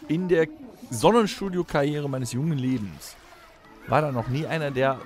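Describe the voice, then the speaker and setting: A man's voice: on the high side, moderate pace. A man in his forties, a podcast host, grumbling and cracking jokes in an improvised comedic audio play. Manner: grumbling, wisecracking.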